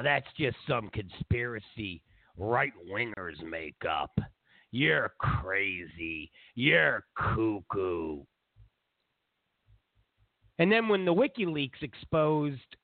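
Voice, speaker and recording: A congested man with a stuffy nose hosts a political talk show. He rambles sarcastically in a lively, slow-moving voice. The sound is tinny and phone-like, and dry. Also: a little high, dry recording. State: congested from a cold. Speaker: show host.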